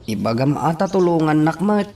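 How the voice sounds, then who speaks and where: A man's voice: a little high, animated, normal speed. A man playing an eager young man in a radio drama. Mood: eager, insistent. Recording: loud music under the voice.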